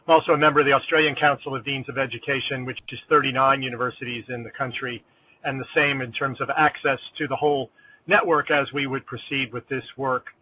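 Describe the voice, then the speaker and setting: A man with an East Coast US accent, a dean of education, giving fluent, measured, opinionated remarks on a conference call. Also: mid-range voice, steady tone. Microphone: phone or conference line. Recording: tinny phone line with faint noise.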